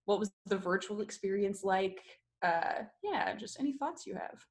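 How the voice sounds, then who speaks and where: A woman leads a relaxed group discussion on a video call, putting questions to her collaborators. Her voice sits at an ordinary middle pitch and she speaks informally. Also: normal speed. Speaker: discussion moderator and theatre collaborator. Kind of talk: casual post-show group discussion.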